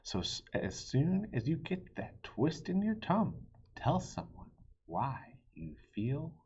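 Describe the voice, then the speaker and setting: A man reading a children's story aloud in a theatrical way, with a breathy, husky voice that dips into hushed tones. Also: fairly high pitch, wildly swooping pitch, takes it slowly, highs cut off. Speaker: children's book reader.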